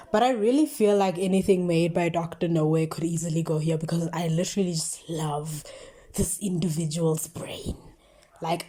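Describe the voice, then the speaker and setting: Mellow, low-voiced South African woman chatting. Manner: playful, tongue-in-cheek, chatty. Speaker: video creator listing favourite analog horror series. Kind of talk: casual rambling commentary with slang.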